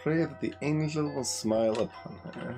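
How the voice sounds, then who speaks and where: A man voicing a solemn, reverent male priest from a video game, in measured, earnest storytelling. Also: fairly high pitch, close to an everyday voice. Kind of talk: video game dialogue read aloud. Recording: music behind the voice.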